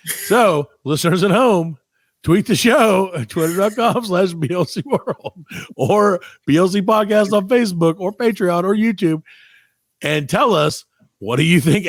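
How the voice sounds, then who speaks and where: A man's voice: high-pitched, animated, unhurried, creaky, no room sound, studio quality. A man hosting a comedy podcast in casual, playfully argumentative banter. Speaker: podcast host.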